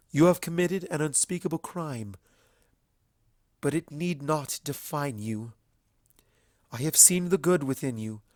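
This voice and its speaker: A man voicing a regal, earnest mare princess in a fantasy story reading, with solemn, emphatic and measured speech. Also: fairly high pitch, no echo, clean studio sound, pitched above the performer's own voice. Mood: insistent, earnest.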